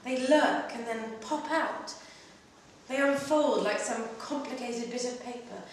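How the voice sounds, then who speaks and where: A woman's voice: fairly high pitch, measured pace. Woman reading aloud in a very echoey room. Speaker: story reader performing at a literary festival. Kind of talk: dramatic literary reading of a first-person short story. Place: live reading in a library. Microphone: distant microphone. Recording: very echoey room, distant mic, faint noise.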